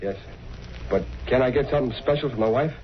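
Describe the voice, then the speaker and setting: A man's voice: medium pitch, quick pace, close to an everyday voice. A man playing a meek, desperate poor man pleading hesitantly in an old radio drama. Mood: anxious, pleading. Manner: hesitant, pleading, plain-spoken. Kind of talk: 1930s-40s radio drama acting. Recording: muffled, very noisy vintage radio recording.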